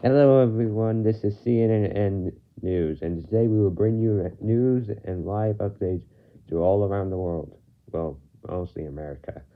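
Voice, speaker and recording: Male, deep voice, halting amateur news anchor, stumbles and restarts, muffled lo-fi recording. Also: slight background noise. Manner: halting, stumbling over words and repeating phrases. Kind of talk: amateur improvised mock newscast. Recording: muffled lo-fi home microphone.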